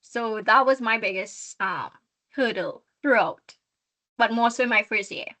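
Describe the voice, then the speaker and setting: A woman's voice: on the high side, a little slow, pushed and bright. A young woman with a Trinidadian accent, speaking earnestly as a guest student in an online workshop interview, often restarting her phrases. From Trinidad and Tobago.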